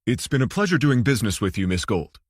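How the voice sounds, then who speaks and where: A man's voice: medium pitch, sing-song, fast, slightly rough, dry recording, clean studio sound. A man voicing a guarded, formal male banker who is politely firm, in an audiobook thriller. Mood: courteous, discreet.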